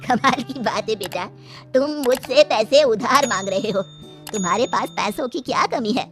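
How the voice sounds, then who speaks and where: Elderly shopkeeper mother for a cartoon tale, woman acting, fairly high voice, gentle and put-upon. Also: moderate pace, over music, lightly acted. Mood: resigned. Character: long-suffering, kindly old mother-in-law shopkeeper. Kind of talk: cartoon story dialogue.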